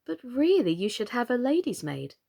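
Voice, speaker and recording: A woman's voice: on the high side, sing-song, normal speed, vocal fry, warm tone, pitched above the performer's own voice, heavily acted. A woman voicing a polite, sensible, slightly timid young storybook girl in a civil, earnest way. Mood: helpful, polite.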